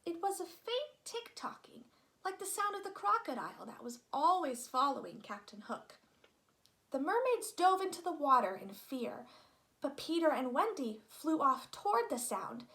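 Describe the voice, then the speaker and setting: A woman reading a children's story aloud as an expressive narrator. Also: high voice. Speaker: storybook reader.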